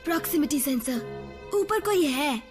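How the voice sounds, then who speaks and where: A woman voicing a feisty, excitable young female robot in a cartoon dub, with a shrill, scolding manner. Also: normal speed, music in the background.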